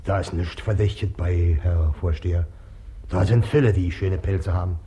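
An actor playing an older, timid river boatman in a 1950s radio play, with a rough voice and plain, deferential rustic speech. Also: low voice, moderate pace, mellow, lightly acted. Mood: matter-of-fact. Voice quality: husky, rough.

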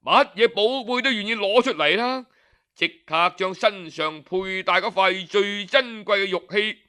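A man telling a traditional tale as an audiobook storyteller, colloquial and expressive, with rhetorical questions and exclamations. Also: high-pitched, measured pace, clean tone.

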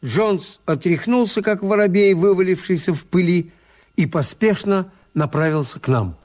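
An actor playing a wry, cautious hotel owner who narrates a Soviet radio drama in a measured literary way. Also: male voice, sits high, darkish tone, natural voice. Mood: wry narration. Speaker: narrator and lead character.

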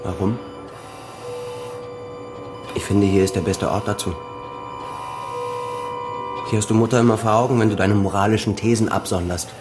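Man acting a bitter, low-voiced cynical son. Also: fairly even intonation, moderate pace, long pauses, noisy background, natural voice. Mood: sarcastic. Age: middle-aged. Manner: sarcastic, accusing. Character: bitter, cynical grown son confronting his father. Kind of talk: naturalistic German radio drama acting.

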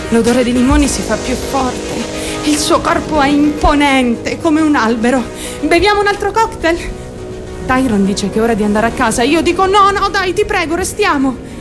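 Radio drama monologue: troubled teenage girl, high girlish voice, volatile and defiant, speaking directly to listeners, music underneath. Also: animated, moderate pace. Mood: girlish, excited. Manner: confiding, addressing listeners directly, mimicking other voices.

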